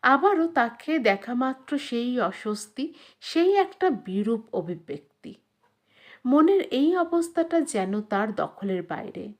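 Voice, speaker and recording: A woman reading a literary story as an audiobook narrator, in a measured, expressive dramatic style. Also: fairly high pitch.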